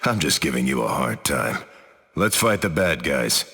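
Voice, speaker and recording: A man voicing an upright veteran team leader in a video game, calm and commanding. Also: rumbling low, normal speed, breathy edge, big-room sound, exaggerated voice. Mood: steady.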